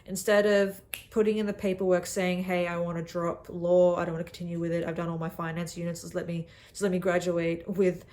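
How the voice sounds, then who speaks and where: Young Aussie woman, slightly low voice, cocky self-deprecating vlog delivery. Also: level tone, fairly quick, hardly any pauses, darkish tone. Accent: Australian. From Australia. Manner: brash and self-mocking, calls the viewer sweetheart. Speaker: vlogger. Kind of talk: casual talk to camera. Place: cabin.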